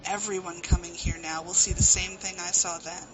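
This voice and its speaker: A woman's voice: lowish voice, level tone, normal speed, close to an everyday voice, rough recording. A woman playing a devoted, steadfast comrade of an island leader in an audio drama, earnest and assured. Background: music.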